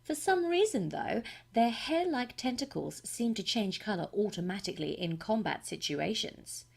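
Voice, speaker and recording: A genial, quirky researcher acted by a woman presents findings in scripted video game narration. The voice has a creaky grain and an explanatory, measured delivery. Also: medium pitch, expressive.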